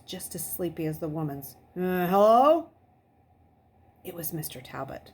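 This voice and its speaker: A woman reading a novel aloud as an expressive storyteller. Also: fairly low pitch, husky. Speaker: audiobook reader.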